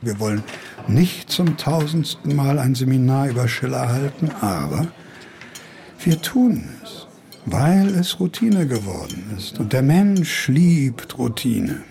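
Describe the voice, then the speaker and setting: An actor playing a cynical older academic in a German radio play, grumbling in a pompous, declamatory, world-weary way. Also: male voice, mid-range voice, big pitch swings, slow, vocal fry, dark tone, exaggerated voice.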